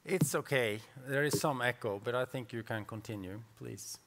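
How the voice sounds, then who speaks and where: A man's voice: medium pitch, moderate pace, a bit bright. A man moderating a conference panel in a formal style.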